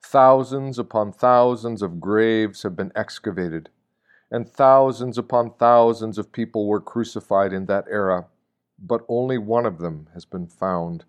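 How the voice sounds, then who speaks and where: A man reading a scripted devotional meditation like a sermon, solemn and deliberate, posing rhetorical questions. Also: on the low side. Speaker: reader of a Lenten meditation.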